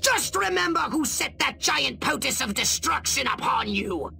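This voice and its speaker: A woman playing a high-strung, skittish informant in a video game, flustered and exasperated. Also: animated, moderate pace, gravelly, sharp tone, slight background noise, heavily acted.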